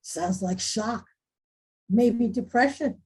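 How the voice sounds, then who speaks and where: An actress playing a proud but softening school principal, probing and candid in a dramatic table read, with a husky voice. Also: female voice, fairly high pitch, slow pace. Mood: sympathetic.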